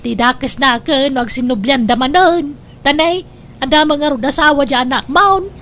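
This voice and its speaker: A woman's voice: high voice, normal speed, a bit bright, slight background noise. A woman with an Ilocano accent playing a nosy, insinuating neighbour who gossips, in an audio drama. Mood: gossipy.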